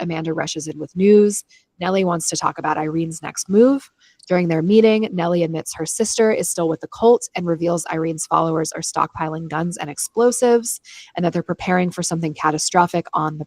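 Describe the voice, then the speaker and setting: A woman's voice: lowish voice, no echo. A woman co-hosting a book club podcast, moving between casual, opinionated chat and read-aloud chapter summaries with dry humor and easy swearing.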